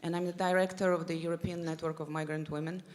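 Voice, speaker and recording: Woman, panelist, lower pitch, self-introduction, reverberant hall. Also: little pitch movement, fast talker, a little mellow. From Russia. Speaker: network director, panelist. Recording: echoey hall.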